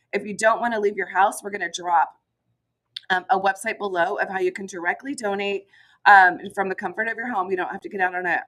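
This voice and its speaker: Hoarse woman host with a cold, mid-pitched, chatty and loose, filler-heavy solo update. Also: fairly bright tone. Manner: chatty, many ums and you-knows. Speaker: chamber of commerce director hosting a morning show. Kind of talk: casual solo update to camera.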